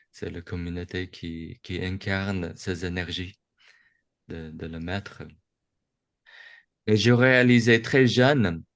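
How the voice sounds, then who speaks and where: A man speaks in a low, unhurried voice, feeling his way through each thought with pauses and restarts. He gives a reflective Buddhist talk over a video call, and the sound is close and dry with no room echo. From United States. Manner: slow, halting, with many restarts and pauses. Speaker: Buddhist teacher speaking to young adults. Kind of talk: reflective dharma talk on a webinar. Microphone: video call microphone.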